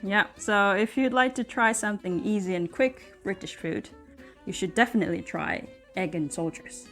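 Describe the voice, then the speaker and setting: A woman's voice: medium pitch, moderate pace, fairly bright tone. A woman from Japan with a Japanese accent, hosting a casual chat in English for language learners. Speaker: host. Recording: music behind the voice. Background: guitar music.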